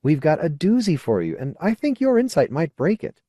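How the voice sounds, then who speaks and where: A man's voice: on the high side, sing-song, normal speed, mellow, dry recording, studio quality, voice pushed up. A man voicing a frank, earnest, coaxing woman in an audiobook. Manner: earnest, coaxing, plain-spoken. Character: frank, persuasive woman colonist, old friend. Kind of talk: audiobook character dialogue.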